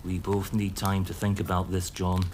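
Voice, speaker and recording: A kindly, cautious gentleman of a bygone age, played by a male actor in an audio drama. He speaks politely and with care in a fairly low voice, over faint background noise. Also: completely flat delivery, normal speed, lightly acted. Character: cautious, kindly Scottish gentleman, loyal friend. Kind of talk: audio drama acting, period dialogue.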